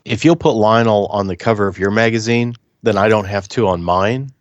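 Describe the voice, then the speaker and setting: A man's voice: on the low side, brisk. A man co-hosts a casual podcast, teasing his partner and slipping into a mock announcer tone. His audio sounds dull and lo-fi. It is dry, with no room echo. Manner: joking, mock-formal announcer delivery. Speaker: podcast co-host.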